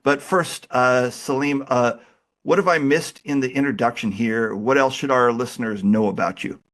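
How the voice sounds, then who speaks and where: A man hosting a podcast while he has a cold, talking in a relaxed, chatty and self-deprecating way. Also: mid-range voice, normal speed. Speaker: podcast host. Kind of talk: casual interview chat.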